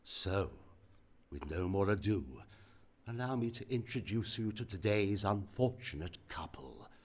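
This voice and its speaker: A man playing a genteel, sinister horror host in a radio play, theatrical and florid, savouring his words with long pauses. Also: on the low side, animated, darkish tone, husky. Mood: relishing, ominous. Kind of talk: spooky radio play narration.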